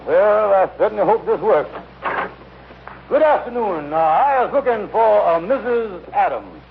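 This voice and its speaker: A blustering, conniving schemer from an old radio comedy. His high voice repeats itself in self-important, ungrammatical patter. The recording is muffled with a faint hiss. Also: lively intonation, unhurried. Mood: officious bluffing. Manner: blustering, repetitive, mangled grammar.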